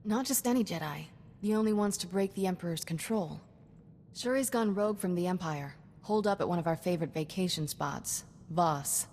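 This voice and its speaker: An actress playing a sassy, cocky young Jedi sidekick in a video game, with wry, clipped banter. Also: female voice, lowish voice, a little fast, a little mellow, big-room sound, slight background noise, close to an everyday voice. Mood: matter-of-fact.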